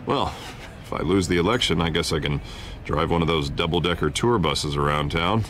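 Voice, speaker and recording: An actor playing an affable, confident politician in a video game, smooth-talking. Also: male voice, sits low, normal speed, noisy background, lightly acted. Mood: jovial. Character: affable, confident male politician, protective of his date.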